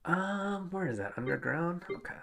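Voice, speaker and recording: A woman's voice: lowish voice, expressive, moderate pace, creaky, very noisy. A woman giving casual, informal gameplay commentary as a streamer, reacting as she plays. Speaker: game streamer.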